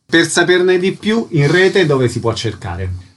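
A man's voice: fairly high pitch, big pitch swings, a little slow. Man interviewing on the radio. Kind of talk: radio interview with short questions and announcements.

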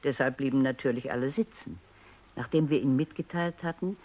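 A woman's voice: rumbling low, a little fast, narrow, tinny audio. An actress playing an analytical, self-assured woman scientist in a radio drama, speaking in precise phrases. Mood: composed, explanatory. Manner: precise, lecturing, composed. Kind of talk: German radio drama acting.

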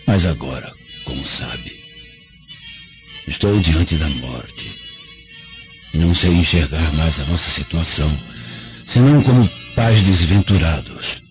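Proud, dying vengeful father; very low Brazilian voice, solemn, widely swinging delivery, radio play. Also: wildly swooping pitch, slow pace, long pauses, full character voice. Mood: remorseful, pleading. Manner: solemn, formal, theatrical phrasing. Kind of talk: radio drama acting. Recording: dull lo-fi radio drama, faint music behind.

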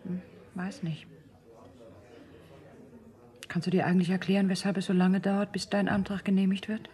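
An actress playing a wry, questioning middle-aged bride-to-be in a radio drama, understated and probing. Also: female voice, mid-range voice, level tone, normal speed, warm tone, lightly acted.

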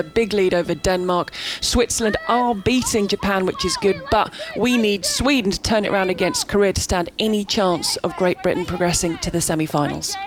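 A woman reporting live from a curling match, upbeat and quick. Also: mid-range voice.

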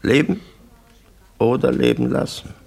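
An actor playing a hard, contemptuous crime syndicate boss, gravelly and authoritative, in a 1960s German radio play. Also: male voice, mid-range voice, steady tone, a little mellow, heavily acted. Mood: bitter contempt. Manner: authoritative, clipped, deliberate orders.

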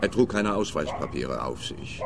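Sarcastic cop in a radio play, very low voice, faint hiss behind. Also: male voice, expressive, moderate pace. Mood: dry. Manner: sneering, sarcastic. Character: sardonic male police officer, middle-aged, mocking. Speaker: police officer.

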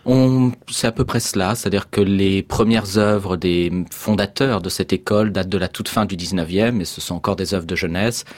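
A man who curates an exhibition, a guest in a radio studio, explaining things in a calm, measured way. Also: sits low, dry recording. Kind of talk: radio interview.

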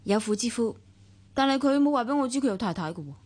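A woman's voice: fairly high pitch, lively intonation, quick pace, darkish tone, lightly acted. A woman with a Hong Kong Cantonese accent acting a worldly, guarded divorced younger sister in a radio drama, nonchalant and wry, with wistful first-person narration. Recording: long reverb, music underneath. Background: music.

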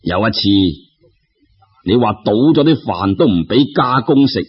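A man voicing a menacing giant envoy of the underworld in a storybook tale, slow and accusatory, speaking Cantonese. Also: mid-range voice, animated, husky, dull audio, voice pushed down. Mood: accusing.

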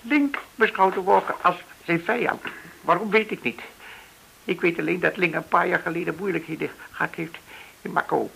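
Tense, stammering cornered banker. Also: male voice, high-pitched, gravelly, sharp tone, heavily acted, poor audio. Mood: nervous. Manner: halting, anxious, stammering. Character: frightened, cornered male banker pleading innocence. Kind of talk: radio drama acting. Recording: hissy old radio play recording.

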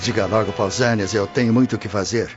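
Actor as a brusque, low-voiced Roman official. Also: male voice, steady tone, quick pace, close to an everyday voice. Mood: impatient. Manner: brusque, commanding, formal. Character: impatient, authoritative male Roman official. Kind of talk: radio drama acting. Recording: dull lo-fi radio drama recording. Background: faint music.

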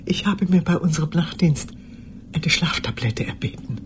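An older woman acting in a radio drama monologue as a lonely old woman who speaks formally, haltingly and insistently. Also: low-pitched, lively intonation, in a whisper. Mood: urgent, apologetic. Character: lonely old woman confiding memories to a young lawyer.

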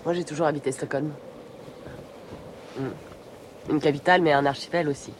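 An actress playing a wistful, encouraging polar researcher in a radio drama, speaking in reflective, short phrases. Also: female voice, sits low, steady tone, moderate pace, a little hiss or hum, lightly acted. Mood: wistful, reminiscing. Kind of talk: naturalistic French radio drama acting.

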